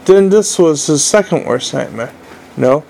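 Slow dopey troll guy, man putting him on, drawls and wanders off mid thought, kinda gravelly. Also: a little high, lively intonation, mellow, exaggerated voice, poor audio. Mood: rambling. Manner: slow, halting, rambling speech. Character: dim-witted young male troll, slow and muddled.

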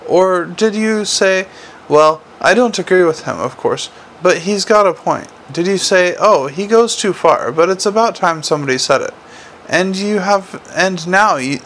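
A man's voice: a little high, vocal fry, a bit bright, a little hiss or hum. Man acting a furious, ranting watch commander. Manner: ranting, accusing, snapping orders.

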